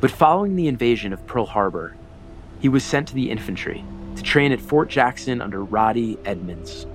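A man narrating a historical documentary in a measured, solemn, scripted way with dramatic emphasis. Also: mid-range voice, animated, soft background music.

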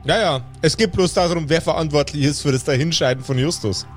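A man narrating an improvised tabletop game as game master, speaking in a measured, deliberate way with pauses. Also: a little high, soft background music.

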